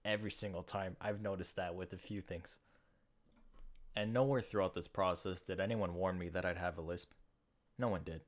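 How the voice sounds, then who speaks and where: Young man, slight lisp, low voice, rambling casual podcast talk, jokey and self-deprecating. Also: fairly even intonation, normal speed. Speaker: podcast host. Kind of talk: casual solo podcast monologue.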